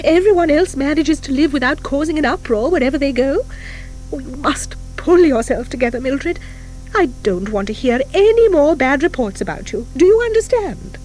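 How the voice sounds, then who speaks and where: A woman's voice: high voice, expressive, normal speed, darkish tone, faint music behind, pitched above the performer's own voice, low-quality recording. A woman voicing a chiding but kindly headmistress of a witches' school in an audiobook, fussy and exasperated. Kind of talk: audiobook character acting.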